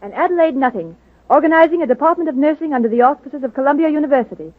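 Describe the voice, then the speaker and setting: An actress playing a polite, earnest nurse in a 1940s radio drama style. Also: female voice, sits high, racing, dry recording, natural voice. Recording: tinny old radio recording.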